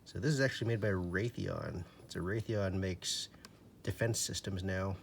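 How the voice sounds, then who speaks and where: Low-voiced man explaining casually, noisy recording. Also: normal speed. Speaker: radio repairer demonstrating. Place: indoors at a table. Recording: clear background noise, handling clicks.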